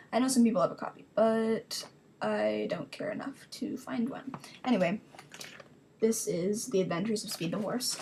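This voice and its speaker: A woman's voice: mid-range voice, slight background noise. A woman giving a dramatic reading of her own childhood story, rambling into self-mocking casual asides.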